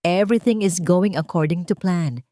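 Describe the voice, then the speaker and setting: A woman's voice: lowish voice, normal speed, dark tone, dry recording, studio quality, natural voice. A woman with a Filipino accent voicing a smug, scheming female swindler in an audio drama, gloating to herself.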